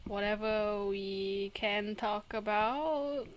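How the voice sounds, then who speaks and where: A woman's voice: mid-range voice, very slow, a crowd in the background. A young woman from Singapore, chatting casually as a podcast co-host and giggling often. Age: young adult. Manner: chatty, giggly, trails off and restarts. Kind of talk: casual chat.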